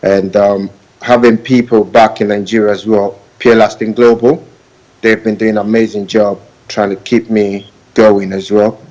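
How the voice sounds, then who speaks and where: A man joining a sports show remotely as a boxer, talking hesitantly with many pauses. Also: on the low side, flat delivery, a little mellow, poor audio. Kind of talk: casual interview talk.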